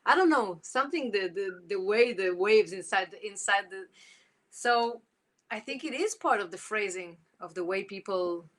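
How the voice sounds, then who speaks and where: A woman's voice: mid-range voice, lively intonation, moderate pace. A woman in her forties with an Israeli accent, a guest musician talking casually in an interview, rambling with many restarts and repeated words.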